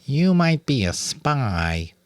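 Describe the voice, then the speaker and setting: A man's voice: medium pitch, sing-song, slow, no room sound, clean studio sound, pitched above the performer's own voice. A man voicing a small young girl rat, childishly earnest, blunt and suspicious, in an audiobook. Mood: doubtful.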